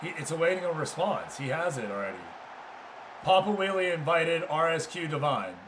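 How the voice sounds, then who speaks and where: A man's voice: on the high side, animated, moderate pace, noisy background. A man hosting a livestream, giving casual game commentary and chatting informally with viewers. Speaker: livestream host.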